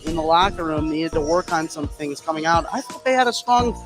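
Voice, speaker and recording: A man's voice: a little high, animated, fairly quick, music in the background. A man doing live play-by-play commentary of a basketball game on the radio.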